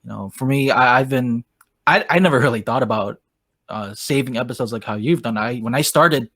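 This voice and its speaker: A man's voice: medium pitch, a little fast, fairly bright tone, dry recording. A man hosting a podcast, chatting with his guest in a laid-back, casual way and often saying "man.". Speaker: podcast host. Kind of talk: casual interview chat.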